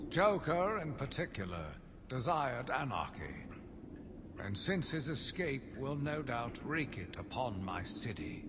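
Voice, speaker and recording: A zealous, deluded asylum warden for a game. His delivery is grandiose and deliberate, very slow and full of swelling pitch movement. A long reverb hangs over his theatrical diary confession. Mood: grim defiance. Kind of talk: dramatic first-person game monologue. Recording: long reverb, clearly noisy ambient backdrop.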